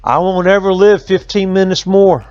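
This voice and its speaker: Guy doing a battered robber from a folk tale, panicky backwoods drama, high voice, dull recording. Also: fairly even intonation, normal speed, a little mellow, no echo, soft background music, lightly acted. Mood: panicked, wailing.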